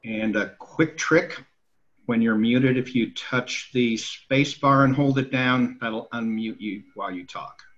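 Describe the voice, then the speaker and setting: A man's voice: medium pitch, a little slow, fairly bright tone. A man speaking casually and helpfully as a participant on a video call. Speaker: meeting participant. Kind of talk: casual introduction and helpful tip. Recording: dull video call audio.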